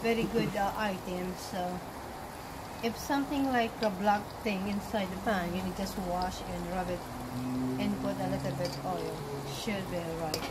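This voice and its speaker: A woman from the Philippines with a Filipino accent hosts a home cooking video in her back garden. She chats loosely and mixes English with Tagalog. The camera mic picks her up from a few feet away, with outdoor noise clearly heard behind her. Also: mid-range voice, moderate pace. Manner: chatty, switches between English and Tagalog. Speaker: cooking vlog host. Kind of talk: casual cooking demo.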